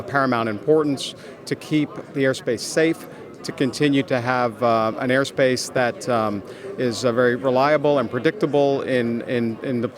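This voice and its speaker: A man answering interview questions as a business executive, explaining in halting phrases with frequent pauses and repeated words. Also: mid-range voice. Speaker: consultancy executive, interview guest.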